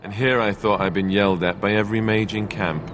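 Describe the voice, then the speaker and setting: A man's voice: fairly low pitch, steady tone, brisk, a bit bright, a little hiss or hum, lightly acted. A man playing a wry, sarcastic young warden in a video game, glib and affable, with a dry, flippant delivery. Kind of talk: video game dialogue acting.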